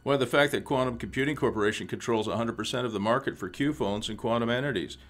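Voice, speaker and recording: A man playing a suavely threatening government official in a radio play, condescending, formal and deliberate. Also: mid-range voice, fairly bright tone, natural voice. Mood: veiled threat.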